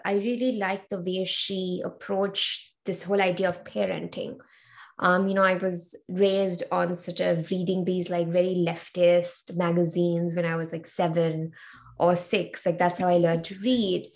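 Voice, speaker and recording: A woman's voice: on the low side, gravelly. A woman in her twenties from Sri Lanka, a guest on a video call interview, answering thoughtfully with many ums and uhs. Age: 20s. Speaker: interview guest, learning experience designer. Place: home room with bookshelves. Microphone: video call webcam.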